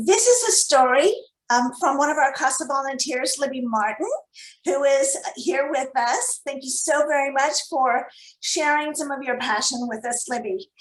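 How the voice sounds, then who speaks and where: Very high-pitched woman in an echoey room. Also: fairly bright tone. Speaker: nonprofit program director. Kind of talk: reading a prepared story aloud. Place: echoey room on a video call. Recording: echoey room over video call.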